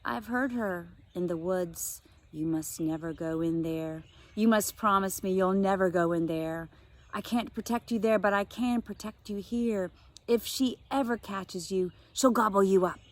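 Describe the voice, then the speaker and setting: A woman reading aloud and voicing a tired, frightened old grandmother who speaks slowly and insistently. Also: medium pitch, natural voice. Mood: fearful warning. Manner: slow, grave and insistent.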